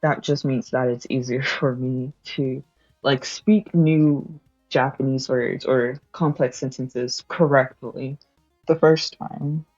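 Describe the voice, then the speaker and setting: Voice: medium pitch, steady tone, takes it slowly, darkish tone, no room sound, highs cut off, soft background music. Rambling young streamer chatting while studying. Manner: rambling, self-correcting, laughs often.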